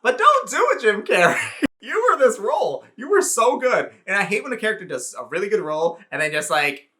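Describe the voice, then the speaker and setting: Animated, high-voiced man reviewing a movie. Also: a little fast. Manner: animated, effusive, sassy, with slang. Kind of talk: casual opinionated comedic review.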